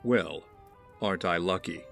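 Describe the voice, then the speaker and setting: A brooding young heir, acted by a man, talks in a very deep voice, measured and wary. His delivery is terse and dry, in an audio drama with faint music behind him. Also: gravelly, a bit bright, heavily acted.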